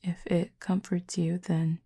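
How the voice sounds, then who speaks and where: Woman acting a low, breathy, reserved cupid. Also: level tone. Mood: reserved, fond. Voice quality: soft, breathy, hushed. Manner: measured, formal, softly teasing. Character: calm, reserved cupid, formal and quietly fond. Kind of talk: intimate audio roleplay to the listener.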